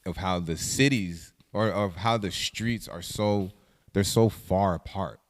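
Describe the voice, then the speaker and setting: A young man from Southern California hosting a casual podcast chat, animated and cursing freely. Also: sits low, unhurried, in a large hall. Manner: animated, swearing, laughing often. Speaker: podcast host.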